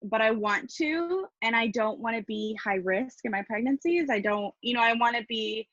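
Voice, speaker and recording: A woman in her late twenties, a podcast guest telling her story in an animated, candid way. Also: fairly high pitch, moderate pace, no echo, muffled recording. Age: late 20s. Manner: animated storyteller, laughs through frustration. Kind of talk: casual interview chat.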